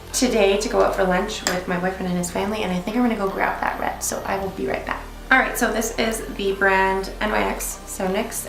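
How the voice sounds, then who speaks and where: A woman's voice: medium pitch, gravelly. A young woman giving a casual, chatty beauty tutorial in an effusive, rambling way, as host. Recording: roomy echo, music behind. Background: music.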